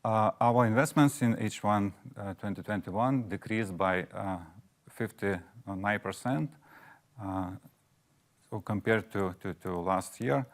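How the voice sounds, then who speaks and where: A man giving a formal financial presentation as finance chief, with many hesitations. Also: lowish voice.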